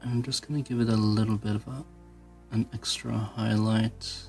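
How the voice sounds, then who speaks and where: A man's voice: lowish voice, level tone, normal speed, gravelly, a little mellow, soft background music. A man giving a casual hobby tutorial, thinking aloud informally as he works. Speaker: toy customizer presenting own channel.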